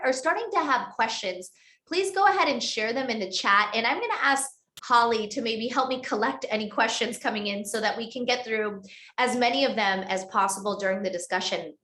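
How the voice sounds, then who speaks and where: A woman author giving a structured webinar talk on a video call, speaking in clear list-like phrases. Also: a little high, a bit bright. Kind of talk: webinar presentation. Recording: roomy echoey sound.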